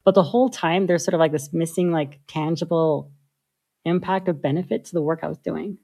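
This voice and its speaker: A woman, a software engineer, talking through her ideas in a casual interview with lots of filler likes. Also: low-pitched, brisk, vocal fry, slightly rough. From United States. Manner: thoughtful, many filler likes.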